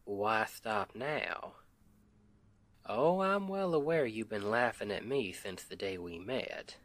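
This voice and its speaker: A man's voice: on the low side, lively intonation, slow, fairly bright tone. A man playing a sweet, weary Southern US husband and roofer in an intimate ASMR roleplay, with an affectionate, teasing, folksy drawl. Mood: playful, tender. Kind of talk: intimate ASMR roleplay, one side of a conversation.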